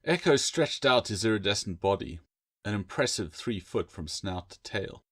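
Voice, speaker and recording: Male author-narrator for fiction audiobook, lowish pitch, very dry recording. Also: animated, moderate pace, a bit bright, breathy edge, no echo. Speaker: author reading own novel.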